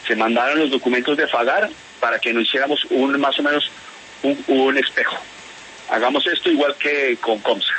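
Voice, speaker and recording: A man's voice: mid-range voice, steady tone, moderate pace, sharp tone, a little hiss or hum, low-quality recording. Spanish-born man with a Bogotá accent. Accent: Bogotá Colombian Spanish. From Spain. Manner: hesitant, repeats words, restarts. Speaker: interviewee, whistleblower. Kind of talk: phone interview on radio.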